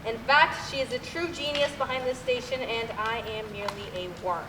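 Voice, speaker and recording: A woman's voice: a little high, steady tone, normal speed, lightly acted. A woman playing a solemn, overwrought radio announcer with grave, dramatic delivery in a stage radio play. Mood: humbled. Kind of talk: mock 1930s radio broadcast acting on stage. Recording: distant echoey hall, clear noise.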